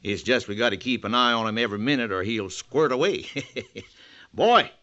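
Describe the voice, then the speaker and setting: An amiable, obedient ranch hand from a vintage radio western. His folksy talk is animated in pitch and bright in tone. It comes through a muffled, noisy old recording. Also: moderate pace. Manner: folksy drawling cowboy talk. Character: easygoing, obedient, talkative male ranch hand.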